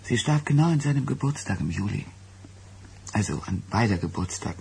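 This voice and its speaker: An actress playing an evasive, flustered woman guarding a secret in a German radio drama, halting and hesitant. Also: a bass voice, animated, creaky, a little mellow, slight background noise, exaggerated voice. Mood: reluctant, uneasy.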